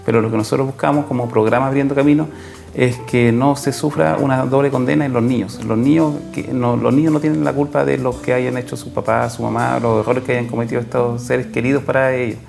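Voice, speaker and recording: A man with a Chilean accent answering as an interview guest, explaining haltingly and rephrasing as he goes. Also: medium pitch, steady tone, creaky, music in the background. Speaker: social worker and program coordinator, guest.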